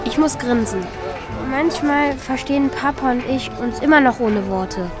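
A woman's voice: sits high, measured pace, loud music behind the voice. A woman playing a thoughtful child who narrates their own story in a scripted audio drama. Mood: confiding.

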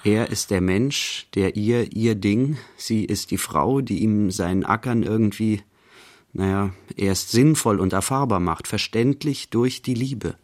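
An actor playing the narrator of a literary radio play, observing dryly in short, clipped phrases. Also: male voice, fairly low pitch, unhurried, close to an everyday voice. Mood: wry, observational. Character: dry male narrator observing an artist's life.

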